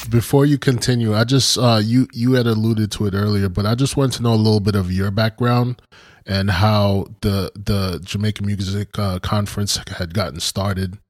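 Podcast cohost guy, lowish voice, earnest, says you know constantly, dry close mic. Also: steady tone, normal speed, no room sound. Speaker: podcast co-host. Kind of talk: casual podcast talk.

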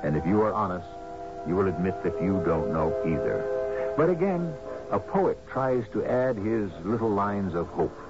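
Deep voiced guy hosting old radio mystery show, solemn n poetic, muffled with music behind. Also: lively intonation. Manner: solemn, literary, deliberate. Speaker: host of a radio mystery drama series. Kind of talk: scripted dramatic radio narration with poetry quotes.

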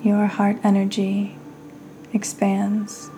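A woman hosting a guided meditation, speaking soothingly in short phrases with long pauses and breathy endings. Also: mid-range voice, monotone, background noise. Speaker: podcast host and meditation guide.

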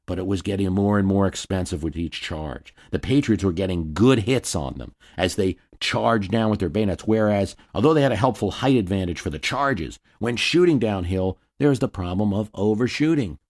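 A man's voice: deep voice, expressive, moderate pace, hardly any pauses, a little mellow. A man narrating history in a storytelling way, dropping in wry asides.

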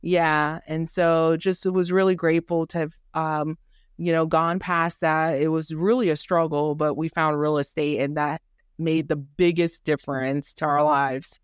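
A woman's voice: low-pitched, flat delivery, measured pace, clean tone, dry recording, clean studio sound. A woman from Haiti who grew up in Miami, telling her story conversationally as a podcast guest in an earnest, emphatic manner. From born in Haiti, raised in Miami. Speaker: podcast guest, doctor and rental operator.

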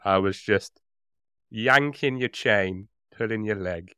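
A man's voice: on the low side, slow pace, pushed and bright, clear, resonant tone, no room sound, studio quality. A man co-hosting a podcast, chatting casually in a hesitant, rambling, jokey way. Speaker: podcast co-host. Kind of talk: casual chat.

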